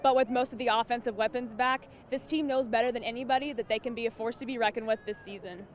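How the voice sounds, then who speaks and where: A woman television sports reporter delivering scripted highlights and team news. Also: steady tone, fast talker.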